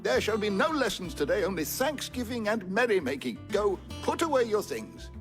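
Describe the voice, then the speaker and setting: An actor playing a kindly, bookish old teacher in a video game, speaking in ornate, formal, theatrical phrases. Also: male voice, high-pitched, sing-song, normal speed, faint music behind. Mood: joyful, relieved. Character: kindly elderly male scholar and teacher, gentle, bookish, eloquent. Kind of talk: scripted video game drama acting.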